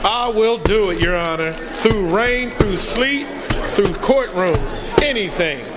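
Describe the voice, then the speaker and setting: A proud, pompous postman, acted by a man in a radio play. He declaims slowly in a high voice. Faint music sits behind him. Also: expressive, a bit bright, full character voice. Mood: proud, grand. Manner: grandly declamatory. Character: proud, pompous mail carrier. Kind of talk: stage radio drama acting. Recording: echoey hall, faint music.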